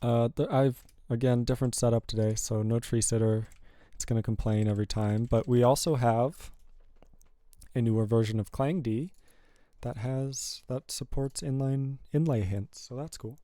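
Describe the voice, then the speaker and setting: A man's voice: medium pitch, moderate pace, no room sound. A man hosting a live programming stream, chatting casually and rambling as he works through code. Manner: relaxed, rambling, self-correcting. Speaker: programming livestream host. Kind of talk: casual live coding chat.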